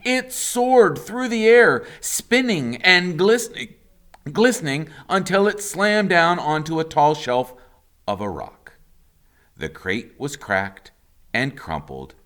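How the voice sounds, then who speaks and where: A man reading a children's story aloud as a teacher would, with expressive, suspenseful narration and drawn-out pauses. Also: fairly high pitch.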